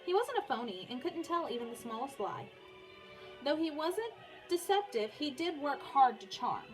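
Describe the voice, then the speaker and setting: A woman's voice: high-pitched, sing-song, moderate pace, poor audio. A young adult woman, a music teacher, speaking informally as she reads and talks to her pupils. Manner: informal, often breaking off to soothe a small child. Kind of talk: reading a biography aloud for pupils, with casual asides.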